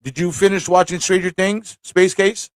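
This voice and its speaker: A man's voice: high-pitched, fairly even intonation, normal speed, no echo. Casual male live streamer, dry close sound. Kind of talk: casual live stream chat, reading aloud and reacting.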